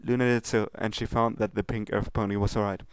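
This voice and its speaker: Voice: mid-range voice, flat delivery, a little fast, clear, resonant tone, no room sound. A narrator reading a comedy fan fiction story from a script.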